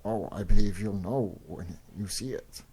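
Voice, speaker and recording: Bold gentleman adventurer, male actor: urbane, confident, determined, slightly low voice, comic-book drama. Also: normal speed. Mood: confident urgency. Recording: clearly noisy recording.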